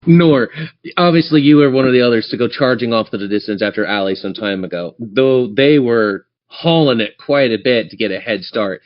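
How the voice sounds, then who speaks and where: A man's voice: mid-range voice, normal speed. Guy dming a tabletop game, describes scenes and talks to players, kinda dull lo-fi sound. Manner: descriptive, addresses players directly. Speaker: game master of a tabletop campaign. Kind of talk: improvised tabletop game narration.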